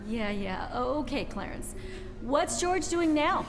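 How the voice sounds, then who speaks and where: A woman playing a measured, gently chiding angel supervisor in a 1940s-style radio play. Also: sits high, expressive. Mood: gently bossy. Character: calm, supervising female heavenly angel. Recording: long cavernous reverb, music behind.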